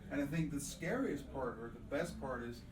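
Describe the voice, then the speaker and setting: Guy pitching gear informally, mid-range voice, roomy echo, bit far from the mic. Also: expressive, normal speed, crowd noise. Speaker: audio distributor presenting a demo. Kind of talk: informal product presentation. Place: small demo room at an audio show. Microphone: binaural microphone at a distance. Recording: echoey room, distant from mic.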